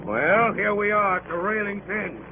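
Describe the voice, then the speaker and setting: An actor playing a domineering, fearless, grandiose villain in an old-time radio drama, orating in a commanding, theatrical way. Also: male voice, high voice, expressive, moderate pace. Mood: cold menace. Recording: scratchy, muffled old radio, loud noise.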